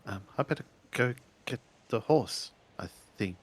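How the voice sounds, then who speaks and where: A man's voice: fairly low pitch, lively intonation, a little slow, husky, dry recording. A man playing a polite, formal samurai in a tabletop game, speaking courteously and with some hesitation.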